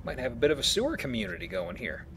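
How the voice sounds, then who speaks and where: A man's voice: on the high side, wildly swooping pitch, rapid-fire, vocal fry, fairly bright tone, a little hiss or hum. A man hosting a let's play, commenting casually on the game with dry jokes and wordplay.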